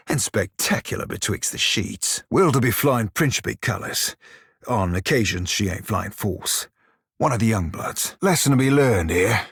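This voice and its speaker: Game role: roguish furry sailor, husky growl, bawdy and sardonic, mid pitch, close dry sound. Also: male voice, a bit bright, dry recording, full character voice. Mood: dry, teasing. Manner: salty, colloquial pirate talk, wry and crude. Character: roguish, bawdy, sardonic blue-furred pirate sailor creature. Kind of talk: video game character dialogue and barks.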